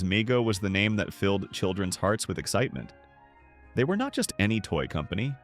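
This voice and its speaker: Male narrator, lower pitch, animated intonation, scripted nostalgic documentary read, measured delivery. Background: background music.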